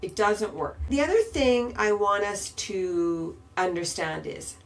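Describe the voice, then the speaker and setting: Casting: woman podcast host, unhurried, patient teacher. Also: mid-range voice, lively intonation. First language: English. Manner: slow, patient, emphatic explaining. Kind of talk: podcast grammar lesson. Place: Istanbul.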